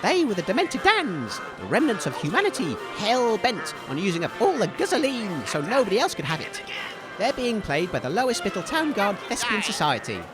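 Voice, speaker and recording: A man acts as a chipper, excitable British radio host in a comedy drama. His voice is very high and swoops all over as he commentates earnestly and gently chides. Music plays clearly underneath. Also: a little fast. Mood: explaining, chiding. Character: cheerful naive male radio host, gently chiding his co-host. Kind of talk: comedy audio drama, mock live event commentary.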